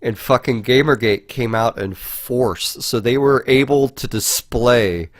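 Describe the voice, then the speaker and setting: A man's voice: mid-range voice, a little slow, dry recording, studio quality. A man co-hosting a podcast, talking casually and informally with frequent swearing. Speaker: podcast co-host.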